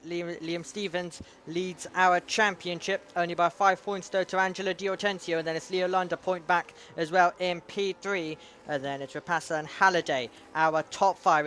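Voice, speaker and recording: A man with a high, bright, ringing voice calls an online racing league. He talks steadily through the standings and rises to excited shouts when cars fight wheel to wheel. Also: a little hiss or hum. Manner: builds to excited shouts in battles. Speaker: lead race commentator. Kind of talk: sim racing play-by-play commentary.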